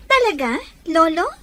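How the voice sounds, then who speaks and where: Radio drama schoolgirl played by a woman: sweet, eager, coy, very high-pitched. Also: bright, forceful tone, full character voice. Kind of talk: radio drama acting in Ilocano.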